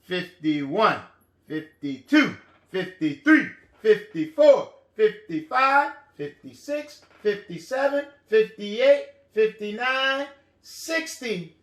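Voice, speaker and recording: A man leads young children through a counting lesson with an encouraging, animated delivery. His voice is strikingly high and bright, and he takes his time with short, repeated phrases. The sound is roomy and echoing. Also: takes it slowly. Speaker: elementary teacher and lesson host.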